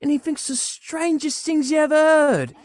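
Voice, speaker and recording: An actor playing a gentle, devout and loving parent of a poor family in a theatrical radio play. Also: high-pitched, normal speed, lightly acted. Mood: tender.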